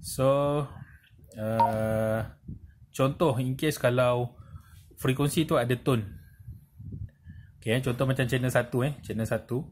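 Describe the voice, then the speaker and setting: A man with a Malaysian accent giving an informal hands-on tutorial, explaining step by step in a halting way with frequent check-in tags. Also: medium pitch, steady tone. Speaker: presenter of a tutorial. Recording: clearly noisy amateur recording with clicks.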